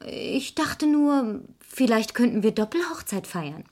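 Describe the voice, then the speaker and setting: A breezy, headstrong young sister in love in a seventies radio play. Her voice is on the high side. She is flippant and teasing, with a defiant streak. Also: big pitch swings, unhurried, darkish tone. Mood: coaxing. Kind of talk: 1970s German radio drama acting.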